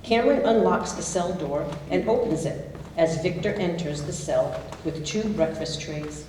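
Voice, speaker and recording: A woman's voice: on the low side, measured pace, darkish tone, a little hiss or hum. A woman reading scripted stage directions as narrator of a staged reading. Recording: echoey hall, distant mic, music.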